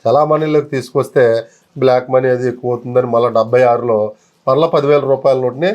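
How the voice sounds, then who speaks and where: A man's voice: mid-range voice, fairly even intonation, fast talker, darkish tone, dull audio. A man explains things politely as an interview guest, often repeating his phrases. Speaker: guest currency collector showing old notes.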